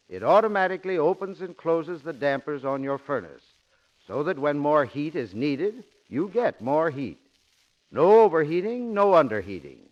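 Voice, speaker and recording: A dull old radio recording carries an earnest salesman. His slightly high voice is expressive, explaining things in tidy scripted 1940s advertising style. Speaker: sponsor's spokesman.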